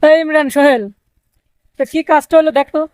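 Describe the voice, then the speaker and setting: An actor as determined woodcutter man, high-pitched, practical, rustic, dry sound, drama. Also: brisk, a little mellow, no room sound, natural voice. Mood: resolute. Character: determined male village woodcutter, practical leader.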